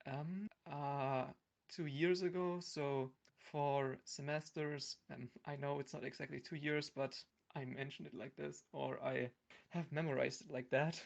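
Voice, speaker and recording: A man's voice: a little high, fairly even intonation, measured pace, gravelly. A man with a German accent giving brief, hesitant answers as a guest in a casual chat. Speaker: podcast guest.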